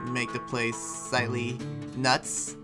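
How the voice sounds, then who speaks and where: A man's voice: medium pitch, monotone, slow, music in the background, lightly acted. A man playing an earnest, troubled demon slayer in an improvised game roleplay, explaining himself in a hesitant, rambling way. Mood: worried, earnest.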